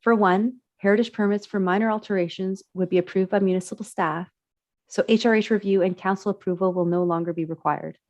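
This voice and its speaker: A woman on municipal staff, getting over a cold and sounding raspy, presenting slides at a committee meeting in measured, prepared remarks. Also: mid-range voice, fairly even intonation, a little mellow, no echo. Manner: measured, reads prepared remarks, clears throat.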